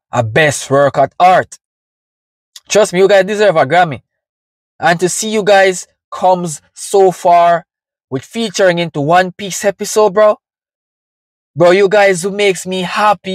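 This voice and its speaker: A man's voice: high-pitched, no room sound. A young Jamaican man reacts to music in a casual, slangy way. He is full of enthusiasm but takes his time over his words. The sound is very dry, with no room around the voice. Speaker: music reaction video host. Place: inside a parked car.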